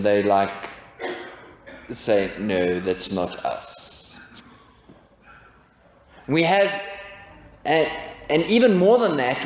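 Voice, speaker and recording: A man in his forties preaching a sermon from a pulpit, asking his congregation rhetorical questions in a measured way. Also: on the high side. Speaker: pastor. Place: church, indoors at a pulpit. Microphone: pulpit stand mic. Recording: echoey church, dull lo-fi sound.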